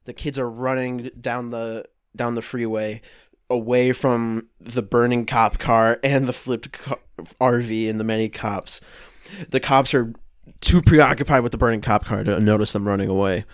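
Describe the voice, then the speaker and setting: A man's voice: medium pitch, steady tone, normal speed, smooth, no room sound, clean studio sound. A man in his twenties casually narrating an improvised story on a video call. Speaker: improv podcast performer. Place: home room, video call.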